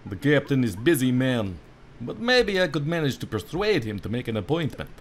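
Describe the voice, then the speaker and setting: A man's voice: medium pitch, very animated, moderate pace, a little hiss or hum. A man voicing a shady, easygoing male knight guard with a muddled put-on accent.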